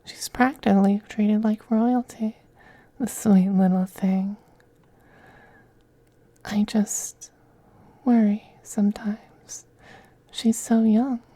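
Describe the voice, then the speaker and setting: A man playing a possessive, teasing femboy vampire in an intimate ASMR roleplay, speaking softly and breathily, often in a whisper, with coaxing, condescending tenderness. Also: high voice, fairly even intonation, takes it slowly, long pauses, no echo. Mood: veiled threat.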